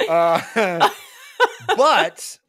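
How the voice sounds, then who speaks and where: A man in his forties gives a casual, jokey recap of a story. His delivery is lively and swoops around as he puts on characters' lines. The sound is dry and close, like a home studio microphone. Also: high voice, dry recording. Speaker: book club podcast host. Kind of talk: casual recap chat about a novel. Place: small indoor home studio. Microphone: close studio microphone on a boom arm.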